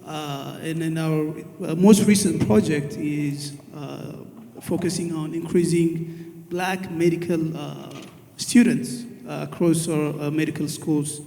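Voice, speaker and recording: A man from Ethiopia introduces himself to a conference audience, pausing often on fillers as he speaks. His voice is slightly high and mellow, with an even, level delivery. The sound carries a long reverb from a large hall. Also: vocal fry. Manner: hesitant, many "uh" fillers. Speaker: panelist. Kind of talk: panel self-introduction.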